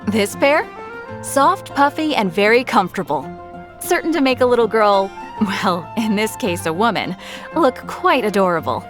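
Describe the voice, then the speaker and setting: A woman's voice: mid-range voice, lively intonation, normal speed, over music, natural voice. A woman playing a cheerful, eager shopkeeper in a video game, gushing and polite. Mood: friendly, selling. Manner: bright sales patter, gushing, polite. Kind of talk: English video game voice acting.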